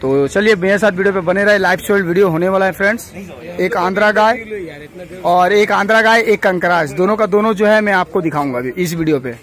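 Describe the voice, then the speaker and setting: Man vlogger, high voice, salesy repetitive patter, phone mic in a busy cattle shed. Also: fairly quick. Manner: excited salesy patter, repeats himself. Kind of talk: live walkthrough vlog. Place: crowded indoor cattle shed.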